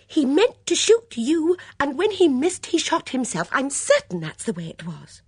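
A woman voicing a fluttery, anxious, stubborn older woman in an audiobook, breathless, excitable and fussy. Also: mid-range voice, moderate pace, slightly rough, no echo, studio quality, voice pushed up. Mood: stubborn, agitated. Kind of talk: audiobook character dialogue.

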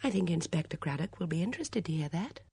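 A woman voicing a gentle, shrewd elderly lady in a story, genteel and thoughtful. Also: on the low side, expressive, fast, vocal fry, breathy edge, no room sound, studio quality, pitched above the performer's own voice, heavily acted.

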